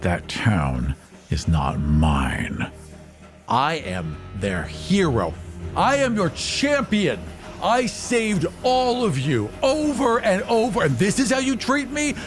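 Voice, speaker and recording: A man's voice: high-pitched, big pitch swings, slow pace, faint music behind, voice pushed up, exaggerated voice. A man playing an arrogant, imperious thug who believes himself a hero, in tabletop roleplay. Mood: indignant. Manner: imperious, indignant.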